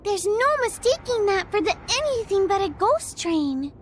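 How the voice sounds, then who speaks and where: Very high, cutesy creature sidekick. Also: female voice, big pitch swings, moderate pace, background noise. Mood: alarmed. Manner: quirky syntax with a misplaced "the". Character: small cute excitable childlike creature sidekick. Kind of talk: animated game cutscene acting.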